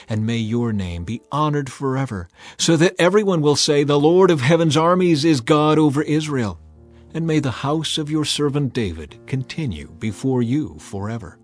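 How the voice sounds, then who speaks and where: A man acts out a humble, devout king in prayer for an audio Bible drama. He declaims earnestly in a mid-pitched voice, full of reverent awe, with music playing behind him. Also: a little mellow, natural voice. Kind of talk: dramatized scripture reading.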